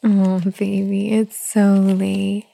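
A woman doing a whispered ASMR roleplay, talking softly and soothingly in a gentle whisper. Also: medium pitch, little pitch movement, takes it slowly, creaky. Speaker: ASMR performer.

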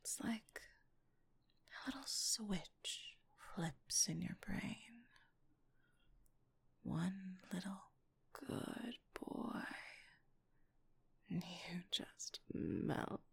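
A woman's voice: lowish voice, animated, drawn-out words, creaky, pauses often, slightly rough. A woman whispering breathily in an intimate ASMR-style monologue, with a teasing, commanding and lingering delivery.

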